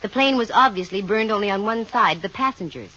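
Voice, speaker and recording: An actress with an American accent playing a wry, self-assured private investigator in a 1950 radio drama, brisk and dry. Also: female voice, medium pitch, lively intonation, bright, forceful tone. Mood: dry, pointed. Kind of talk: 1950 radio detective drama acting, with first-person narration. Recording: muffled vintage 1950 radio recording with music.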